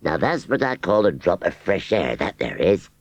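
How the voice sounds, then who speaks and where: A man's voice: low-pitched, very animated, normal speed, no echo, exaggerated voice. A man reading a storybook and voicing a simple-minded, humble male scarecrow with a broad rustic drawl and hesitant ums. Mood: contented.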